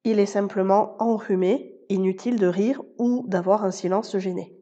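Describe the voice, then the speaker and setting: A woman hosting a language-learning podcast, explaining pronunciation and vocabulary clearly and speaking straight to the listener. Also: medium pitch, steady tone, normal speed, mellow, muffled recording. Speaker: Spanish teacher and podcast host. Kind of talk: scripted podcast lesson.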